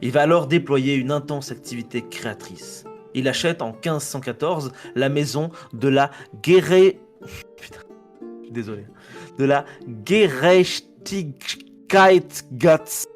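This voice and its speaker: A man's voice: mid-range voice, takes it slowly. French guy reading history notes on a chill podcast, trips over words and laughs at himself, faint guitar music underneath. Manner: reads a text, stumbles on names, jokes and swears at himself. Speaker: podcast co-host presenting a history segment. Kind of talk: casual history podcast narration read from notes.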